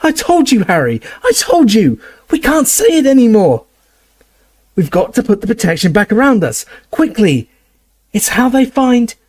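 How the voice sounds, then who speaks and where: Brief: anxious teenage boy, loud and raised, performed by a male narrator. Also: very high-pitched, very animated, moderate pace, pitched above the performer's own voice, heavily acted. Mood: alarmed.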